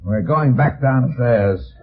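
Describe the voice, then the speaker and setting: An actor playing a tough, sardonic, commanding private detective in an old-time radio drama. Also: male voice, medium pitch, slow pace. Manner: hard-boiled, commanding. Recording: thin, phone-like old radio recording.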